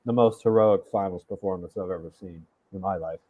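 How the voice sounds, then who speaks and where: A man with a lowish voice chats casually as a co-host, thinking aloud and wandering between points. His voice comes through a thin, phone-like call line. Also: a little fast. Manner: chatty, thinks aloud with fillers. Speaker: regular co-host.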